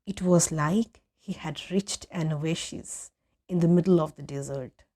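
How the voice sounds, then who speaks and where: A woman reading a literary story aloud in an expressive, measured narration with dramatic pauses. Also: deep voice. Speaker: audiobook reader.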